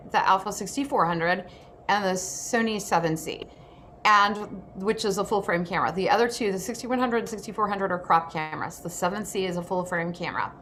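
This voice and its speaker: A woman's voice: mid-range voice, fairly bright tone. A woman hosting a casual workshop, presenting in an informal, chatty and emphatic way from an indoor room. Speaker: host and photographer. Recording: noticeable background noise.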